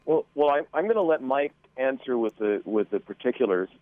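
A man's voice: medium pitch, animated, brisk, no room sound, narrow, tinny audio. A man speaking as an interview guest in a careful, diplomatic, somewhat hesitant way. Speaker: school board member, interview guest. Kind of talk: measured interview answers.

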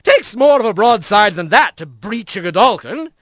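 A man's voice: sits high, very animated, moderate pace, a bit bright, no echo, studio quality. A man voicing a jovial, pompous otter mayor with breezy upper-crust manners in a storybook reading. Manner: breezy, pompous, old-fashioned nautical gentry talk.

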